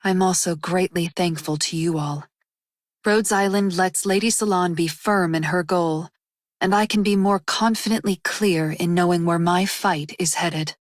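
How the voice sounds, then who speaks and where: A woman plays a devoted game attendant, sober and earnest. Her voice sits a little low and is recorded close and dry, with formal phrasing. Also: unhurried, no room sound, lightly acted. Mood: earnest gratitude. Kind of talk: English video game character voice lines.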